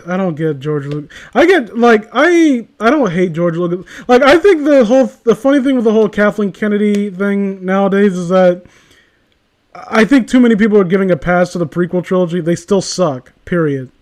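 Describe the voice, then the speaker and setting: High-pitched man ranting casually, rambling and profane. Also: lively intonation, normal speed. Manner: rambling, profane, exasperated opinionated talk.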